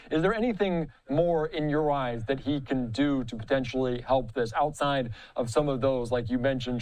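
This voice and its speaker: A man's voice: medium pitch, a little mellow, no echo, low-quality recording. A man anchoring a live news interview, acknowledging points conversationally and then asking questions.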